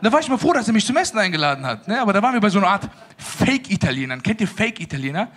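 Animated young man doing stand-up. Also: high-pitched, racing, slight background noise. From Afghan family in Germany. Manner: animated, acts out dialogues with put-on voices. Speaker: stand-up comedian. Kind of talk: stand-up comedy set. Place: small stage with living-room set before a live audience. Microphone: handheld mic.

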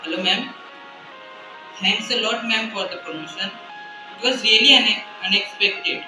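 A man's voice: high-pitched, unhurried. A young man with an Indian accent playing a polite employee in an amateur student play, in an echoey space. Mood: grateful. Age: young adult. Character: worried, proud, polite young male employee. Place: indoor stairwell and home. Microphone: phone camera at a distance. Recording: echoey, distant phone, music behind.

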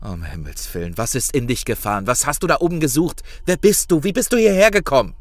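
A man's voice: mid-range voice, expressive, quick pace, no room sound, natural voice. A man playing an anxious but friendly reality-show contestant in a horror audio drama, coaxing and stammering. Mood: agitated.

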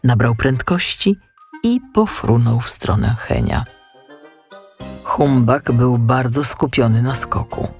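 A male storyteller reads a children's tale at an unhurried pace. His voice has a gravelly creak and moves expressively up and down, with music underneath. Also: medium pitch, lively intonation, darkish tone. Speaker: audiobook narrator. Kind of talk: scripted children's story narration.

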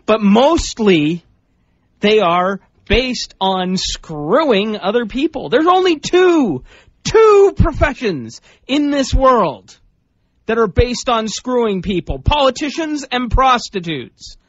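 A man's voice: very high voice, very animated, slow, no echo. A man co-hosting a radio show with casual banter, talking in a blunt, irreverent way. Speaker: radio co-host. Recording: dull lo-fi radio recording.

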